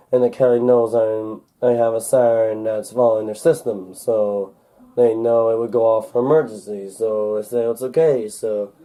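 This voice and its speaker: Male, casual gadget demo, very dark soft-edged voice, slightly stuffy from a cold, rambling and hesitant. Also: medium pitch, rough recording. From United States. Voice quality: slightly congested. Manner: halting, rambling, muttering to himself. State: recovering from a cold. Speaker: gadget unboxing video maker. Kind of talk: casual demonstration while handling a device. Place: indoors at a wooden desk in a room. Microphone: camcorder held or set near the desk.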